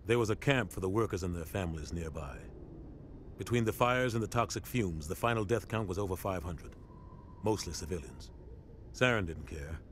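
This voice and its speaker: A middle-aged military captain speaks low and measured, grave and principled, with a weary edge. It is game drama dialogue, with some noise behind it. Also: male voice, lively intonation. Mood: grave, bitter. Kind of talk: video game drama acting.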